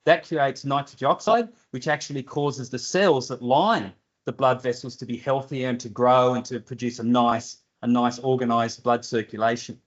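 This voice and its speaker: A man's voice: mid-range voice, steady tone, moderate pace. Older aussie guy answering seminar questions, blunt and dry, muffled lecture audio. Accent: Australian. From Australia. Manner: plainspoken, direct, with dry humour. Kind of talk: seminar Q&A explanation. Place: university seminar room.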